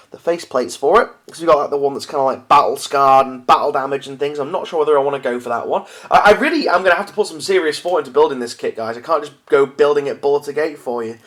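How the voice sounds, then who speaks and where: Sniffly chatty man. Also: fairly high pitch. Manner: chatty, apologetic, many fillers and restarts. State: stuffy with a cold. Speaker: miniatures hobby video host. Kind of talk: casual unboxing talk to camera.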